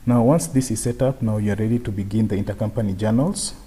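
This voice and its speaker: A man presenting an unscripted software tutorial, explaining step by step and often repeating his phrases. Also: fairly low pitch, fast, husky. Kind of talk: unscripted screen-share tutorial.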